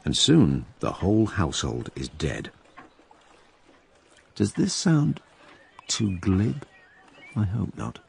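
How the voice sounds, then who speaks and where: A man's voice: lowish voice, wildly swooping pitch, slow pace, vocal fry, pauses often, exaggerated voice. A man playing a wry, musing writer who narrates plague years with ruminative asides, in a recording with background noise. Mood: wry, explanatory. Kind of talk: dramatised first-person narration.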